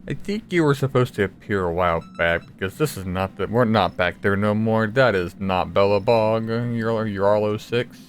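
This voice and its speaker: A woman's voice: very deep voice, moderate pace, few pauses, slight background noise. A woman making casual, wry, laid-back comments while reacting to a game she is playing. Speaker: let's player commenting on a game.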